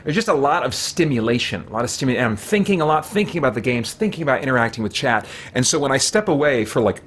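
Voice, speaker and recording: A man's voice: mid-range voice, expressive, fast talker, a bit bright, slight background noise. A middle-aged man doing casual live gameplay commentary, chatting and thinking aloud as he streams from a small home office. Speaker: game streamer. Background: game music.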